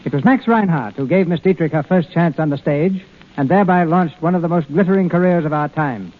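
A man's voice: fairly high pitch, brisk. A man hosting a radio theater, reading formal scripted narration in a grand, theatrical 1930s announcing manner. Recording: old 1930s radio broadcast, narrow tinny band.

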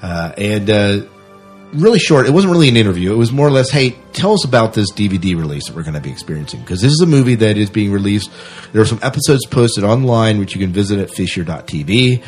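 A man's voice: lowish voice, sing-song, fast talker, a little mellow, soft background music. A man hosting a podcast in a casual, conversational style. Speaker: podcast host.

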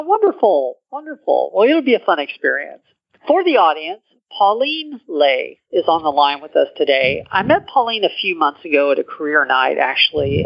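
A man's voice: a little high, vocal fry. A man hosting an interview podcast, upbeat and welcoming as he introduces and questions his guest. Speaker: podcast host. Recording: muffled lo-fi audio with faint music.

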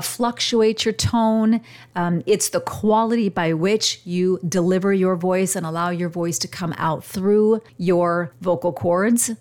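A woman's voice: on the low side, darkish tone. Radio-trained woman coaching on voice. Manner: demonstrates volume changes with her voice; uses dramatic pauses, radio-trained delivery. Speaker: podcast host and communication coach. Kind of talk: instructional monologue. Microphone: studio microphone.

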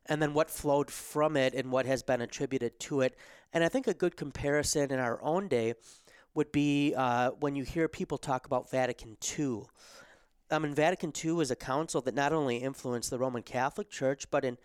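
A man hosting a podcast and giving a solo theology lecture, a bit hoarse from losing his voice, hesitant with ums and restarts and frequent throat clearing. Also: mid-range voice, no echo, clean studio sound. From America. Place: studio.

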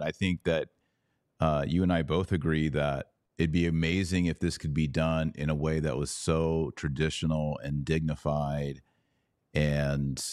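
A man's voice: very deep voice, darkish tone, no room sound, clean studio sound. A middle-aged man hosting a podcast, chatting casually and informally in a folksy way. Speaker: podcast host. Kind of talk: casual interview talk.

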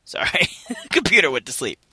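A man's voice: a little high, huge pitch swings, normal speed, pushed and bright, a little hiss or hum. A man making casual, exasperated joking asides in a car, his throat strained and tiring. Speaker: podcast performer. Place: inside a car.

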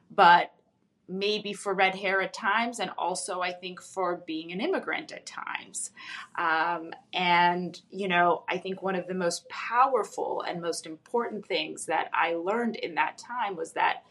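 A woman whose first language is Russian, a psychologist speaking as a guest and thoughtfully recounting her own childhood with frequent ums. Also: lowish voice. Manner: thoughtful, with frequent ums and soft trailing ends. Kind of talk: reflective personal storytelling.

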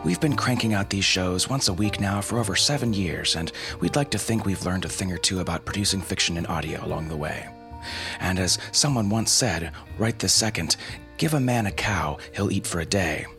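Male podcast host, very low register, wry scripted narration over a clear music bed. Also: moderate pace. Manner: wry, tongue-in-cheek.

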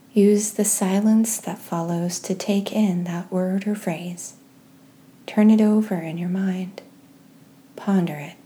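A woman leading a guided meditation and scripture reading in a gentle, soft-spoken, measured way with pauses. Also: mid-range voice. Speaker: host of a guided prayer podcast.

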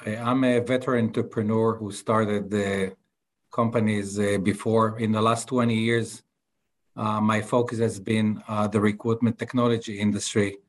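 Casting: middle-aged Israeli-accented man, low even voice, hesitant podcast guest. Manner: halting, many filler sounds. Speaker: guest entrepreneur. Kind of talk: podcast interview.

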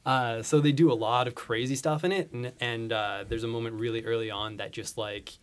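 A man's voice: mid-range voice, steady tone, fairly quick, clean tone. A man giving recommendations as a podcast guest in casual, enthusiastic, informal chat.

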